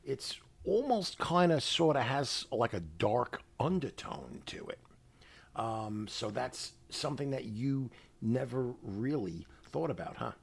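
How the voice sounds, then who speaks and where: A middle-aged man with a New York accent, casually explaining things as a podcast co-host in a measured, halting way. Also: mid-range voice, very animated, vocal fry, slightly rough.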